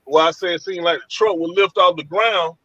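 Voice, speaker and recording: A man from Georgia telling of a frightening night encounter over a call from his van, in animated, colloquial speech. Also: high-pitched, brisk, dull audio. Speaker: guest telling an encounter story. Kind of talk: casual storytelling over a call.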